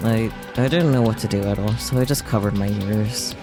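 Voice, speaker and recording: Low-voiced man streaming, music behind. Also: brisk. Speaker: streamer playing a visual novel. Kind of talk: reading game text aloud with casual commentary. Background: video game music.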